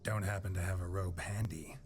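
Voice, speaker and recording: An actor playing a gruff, laconic monster hunter in a video game, speaking in a dry, deadpan, terse way. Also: male voice, low voice, a little fast, music in the background, close to an everyday voice. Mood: irritated, dry. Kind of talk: video game character acting.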